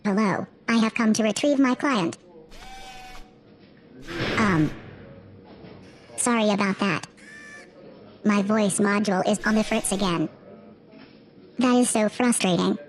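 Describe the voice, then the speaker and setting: A woman's voice: medium pitch, takes it slowly, long pauses, a little hiss or hum, natural voice. A woman playing a sardonic, easily exasperated cyborg lawyer in a sci-fi audio drama, politely menacing.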